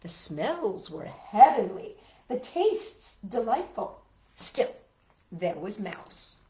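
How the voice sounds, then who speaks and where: Lady doing kids storytime, really swoopy expressive reading, little asides, echoey room. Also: mid-range voice, slow. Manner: animated, dramatic emphasis, chatty asides to listeners. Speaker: storyteller reading picture books to children. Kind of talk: expressive children's read-aloud. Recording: roomy, echoey room sound.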